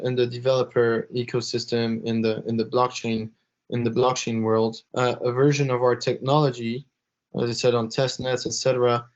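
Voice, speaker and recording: A young man, half French and half Lebanese, answering interview questions haltingly with many fillers and restarts. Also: medium pitch. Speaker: interview guest, blockchain executive. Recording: slightly dull podcast audio.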